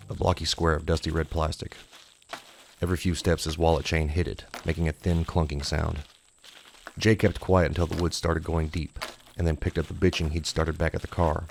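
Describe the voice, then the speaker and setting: Man, very low pitch, creaky vocal fry, scripted horror audiobook narration. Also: a little hiss or hum.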